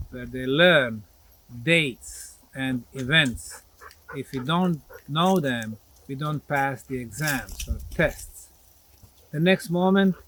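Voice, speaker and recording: Slow-speaking man, higher-pitched. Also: fairly bright tone, rough recording. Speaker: presenter and researcher. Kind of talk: outdoor explanatory talk to camera. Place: outdoors at a grassy mound site. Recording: clear background noise, outdoor site recording.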